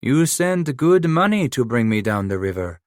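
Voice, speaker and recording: A man's voice: medium pitch, very animated, moderate pace, no room sound, clean studio sound, pitched above the performer's own voice, close to an everyday voice. A man voicing a giant, scarred frontier killer who speaks broken English, mocking and menacing. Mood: taunting. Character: giant scarred French-Canadian frontier killer, cunning, playful.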